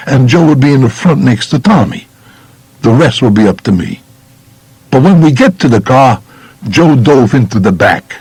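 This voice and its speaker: Guy doing a blunt new york mobster telling his story, gravelly, lively swings in pitch, audiobook. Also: no echo, full character voice, rough recording. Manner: colloquial, blunt, matter-of-fact. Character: tough streetwise male mobster recounting his crimes. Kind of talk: first-person audiobook narration in character.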